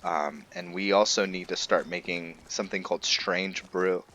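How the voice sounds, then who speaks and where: A man's voice: low-pitched, fairly even intonation, moderate pace, fairly bright tone. A man doing casual let's-play roleplay commentary, musing to himself a little hesitantly over game ambience. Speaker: gaming video host and player.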